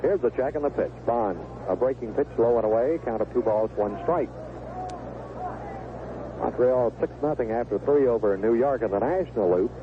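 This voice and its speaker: A man with a mid-range voice calls baseball play-by-play for radio. He speaks as a live commentator, following each pitch as it comes. The sound is thin and band-limited, with heavy noise from an old seventies broadcast. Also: moderate pace. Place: stadium broadcast booth. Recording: narrow, noisy 1970s AM radio broadcast.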